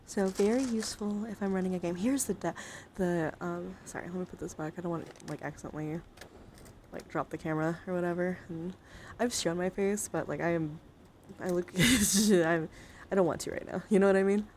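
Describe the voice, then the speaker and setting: A woman livestreamer chatting casually in an ASMR style, halting and rambling as she thinks aloud. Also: fairly low pitch, fairly even intonation, a little mellow, a little hiss or hum. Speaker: livestreamer showing a dice collection. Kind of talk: casual ASMR chat to viewers.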